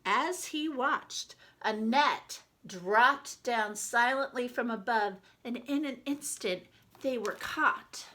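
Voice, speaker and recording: A woman reading a children's picture book aloud like a teacher, expressively, with deliberate dramatic emphasis. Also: a little high, bright, forceful tone.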